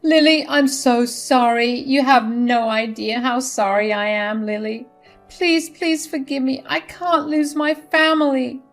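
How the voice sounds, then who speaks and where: A woman's voice: high-pitched, lively intonation, a little slow, a little mellow, pitched above the performer's own voice. A woman voicing a desperate middle-aged mother-in-law who pleads and whines, over faint music. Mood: begging.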